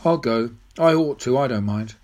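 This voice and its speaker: A young boy in a storybook, acted out by a narrator. He sounds earnest and bewildered, polite but dogged, with a lively rise and fall in his voice. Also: mid-range voice, moderate pace, slightly rough. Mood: steady, resigned. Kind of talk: audiobook character voice.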